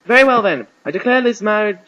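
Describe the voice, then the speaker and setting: A man voicing a formal, declamatory archbishop with a rasp, officiating in a story reading. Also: high-pitched, expressive, quick pace, sharp tone, dull audio, pitched above the performer's own voice. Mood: solemn, declaring. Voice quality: raspy. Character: rasping, ceremonious male archbishop officiating a wedding.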